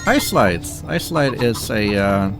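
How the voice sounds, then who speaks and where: A man giving casual live commentary as he speedruns a game, halting and repeating phrases as he goes. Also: fairly low pitch, lively intonation. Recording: game music behind the voice.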